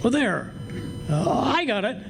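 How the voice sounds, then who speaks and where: A man's voice: high-pitched, very animated, measured pace, gravelly, fairly bright tone, faint music behind, exaggerated voice. A man playing a folksy, hapless, scheming husband who blusters and wisecracks in an old-time radio comedy. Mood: pleased, scheming. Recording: noisy live stage recording.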